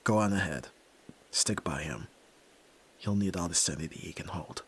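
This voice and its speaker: A man playing the sensitive, earnest young son of a crime boss in an ASMR roleplay, emotional and often hushed. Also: deep voice, lively intonation, moderate pace. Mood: quiet. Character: Yakuza boss's young son, sensitive, earnest, resolute nerd. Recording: clear background noise and ambience.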